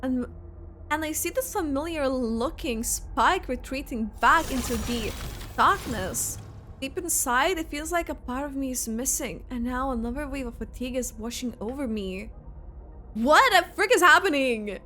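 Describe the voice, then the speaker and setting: Woman gaming streamer, high-pitched, bright, expressive, exclamatory, game music behind. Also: normal speed, slight background noise. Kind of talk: live game reaction and reading game text aloud.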